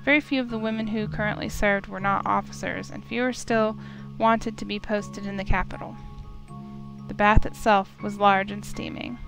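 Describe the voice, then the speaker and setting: A woman with a medium-pitched voice narrates a visual novel. Her delivery is scripted storytelling, and music plays clearly behind her. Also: fairly even intonation, normal speed.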